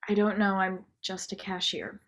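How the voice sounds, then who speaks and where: A woman's voice: mid-range voice, flat delivery, moderate pace, a bit bright, natural voice. A young woman playing a meek, unsure nineteen-year-old cashier, hesitant and apologetic, in an audio drama. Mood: flat, deferring. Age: young adult.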